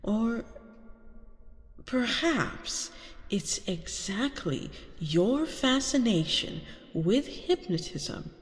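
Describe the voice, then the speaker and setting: A woman playing an arrogant, seductive vampire queen in an ASMR roleplay, teasing and commanding, with theatrical, drawn-out delivery. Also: medium pitch, very animated. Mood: teasing, mocking. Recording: long reverberant hall sound.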